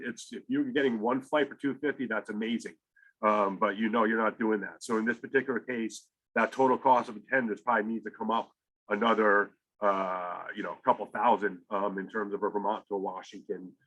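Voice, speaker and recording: A man's voice: on the low side, sharp tone, low-quality recording. A man speaking as a school college counselor, explaining step by step in a conversational way during an informal presentation to parents.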